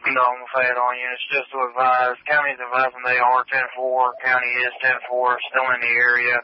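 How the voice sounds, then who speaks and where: A man's voice: medium pitch, completely flat delivery, brisk, a little hiss or hum. A male dispatcher giving procedural, repeated advisories to units over the radio. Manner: procedural, repetitive. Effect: police radio. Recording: muffled scanner radio.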